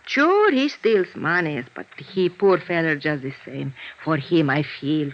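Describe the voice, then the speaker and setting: A muffled old radio recording of a kindly immigrant landlady. She speaks slowly and haltingly in broken English with an Eastern European accent, full of sympathy. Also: sits low, expressive. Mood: sympathetic. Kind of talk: 1950s radio drama acting.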